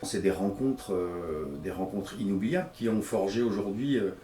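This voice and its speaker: A man's voice: on the low side, moderate pace, warm tone, a little hiss or hum. A fifty-year-old man giving an interview testimonial as an association director. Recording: roomy echo, distant mic.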